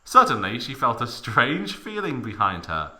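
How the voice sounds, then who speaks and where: A man's voice: mid-range voice, huge pitch swings, normal speed, sharp tone. A man giving a mock dramatic reading of fan fiction, with theatrical, exaggerated emphasis.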